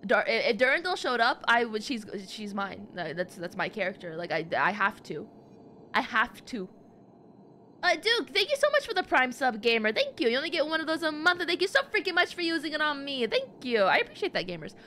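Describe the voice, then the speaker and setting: A woman's voice: high voice, fairly quick, a little hiss or hum. A woman chatting casually on a game livestream, talking playfully to her viewers. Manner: animated, playful, chatty, addresses viewers as gamers and guys. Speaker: game streamer. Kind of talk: casual livestream chat over gameplay. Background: game music.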